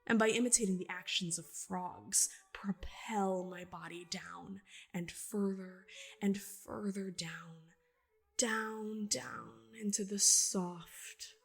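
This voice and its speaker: A proud young woman of the swamp played by an actress tells her own story in a literary audio drama monologue. Her voice is breathy and husky, and she speaks slowly with deliberate, hushed intensity. Music plays behind her. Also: mid-range voice, fairly even intonation, exaggerated voice. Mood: hushed, entranced. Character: young woman, swamp-dwelling healer daughter, proud and defiant.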